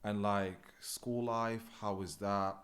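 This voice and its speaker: Low-voiced young man, easygoing host. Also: slow pace. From not born in the UK. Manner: relaxed, rambling with many fillers. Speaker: podcast host. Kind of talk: casual chat.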